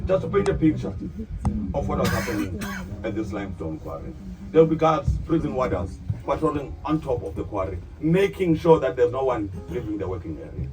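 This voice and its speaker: A man's voice: medium pitch, very animated, a little fast, soft background music. A South African man whose first language is not English, guiding a tour group and calling them "good people" as he asks them questions. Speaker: tour guide. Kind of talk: guided bus tour narration. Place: tour bus. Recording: tinny distant PA-like sound with loud vehicle noise.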